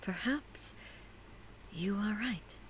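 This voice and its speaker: An actress playing a composed, dignified female ruler in a fantasy video game, with measured delivery. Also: mid-range voice, expressive. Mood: composed, soft. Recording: very loud noise over game audio.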